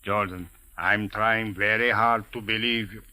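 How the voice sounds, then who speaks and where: An actor playing a suave, skeptical Cairo police captain in a 1940s radio drama, formal, measured and dryly sardonic. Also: male voice, fairly low pitch, steady tone, sharp tone, clean tone. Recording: noisy old radio recording with clicks.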